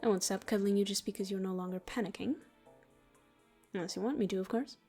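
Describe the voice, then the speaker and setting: A woman voicing a gentle, protective, teasing female demon in an ASMR comfort roleplay, speaking soothingly, intimately and unhurriedly, at times in a whisper. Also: mid-range voice, steady tone, quick pace, slightly rough, soft background music. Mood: tender, teasing.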